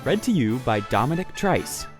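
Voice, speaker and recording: A man's voice: medium pitch, very animated, moderate pace, music in the background. A man reading a scripted introduction to an audio story as its announcer.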